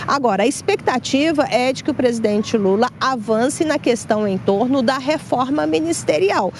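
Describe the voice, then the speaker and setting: Middle-aged female field reporter, high pitch, handheld mic outdoors, faint city noise. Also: moderate pace. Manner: steady informative delivery with occasional fillers. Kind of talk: live news report. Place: outdoors at a government palace plaza.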